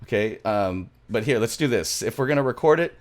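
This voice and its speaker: A man's voice: mid-range voice, lively intonation, brisk. A middle-aged man giving a casual music production tutorial, chatting enthusiastically and interrupting himself as he goes, close on a studio mic. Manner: rambling, enthusiastic, self-interrupting. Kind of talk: casual screen-share tutorial chat. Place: small indoor home studio. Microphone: close studio microphone, headphones on.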